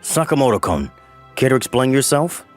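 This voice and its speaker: A man's voice: mid-range voice, very animated, moderate pace, soft background music, close to an everyday voice. A man playing a stern, formal, authoritative company boss in a manga dub. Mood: stern, angry.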